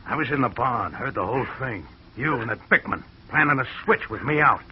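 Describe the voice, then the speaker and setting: An actor playing a jealous, hot-tempered fugitive, snarling in old radio-drama style. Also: male voice, mid-range voice, lively intonation, brisk, natural voice. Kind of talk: 1940s radio acting. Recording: dull old radio broadcast with faint hiss.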